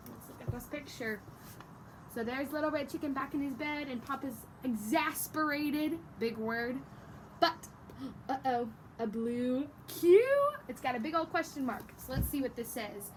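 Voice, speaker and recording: A woman's voice: sits high, a little slow, poor audio. A woman teacher giving an encouraging read-aloud lesson, explaining and speaking directly to children. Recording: faint background noise.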